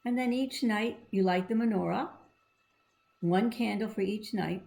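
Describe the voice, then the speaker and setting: Lady doing a kids read aloud, lots of vocal fry, teachery and encouraging, explains stuff simply. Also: medium pitch, measured pace, darkish tone. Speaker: children's storybook reader.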